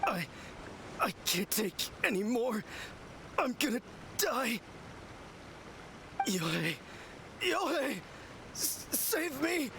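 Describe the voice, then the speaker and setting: A creaky, overwrought young man, drags out his words and swings wildly in pitch. He is a cowardly would-be manly type in an anime game, over a clear rumble. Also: very animated, full character voice. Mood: pleading. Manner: theatrical, halting, overwrought. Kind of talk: anime video game voice acting.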